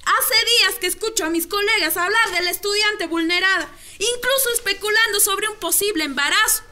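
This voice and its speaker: Principled female teacher, extremely high sharp voice, earnest, indignant, radio drama. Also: fairly quick, heavily acted. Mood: indignant, insistent. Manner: earnest, challenging. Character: indignant, principled woman schoolteacher.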